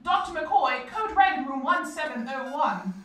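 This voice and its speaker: A woman playing a brisk, bossy hospital announcer paging staff over the intercom in an audio comedy drama. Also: high-pitched, lively intonation, a bit bright, slight background noise. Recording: echoey, distant public-address speaker.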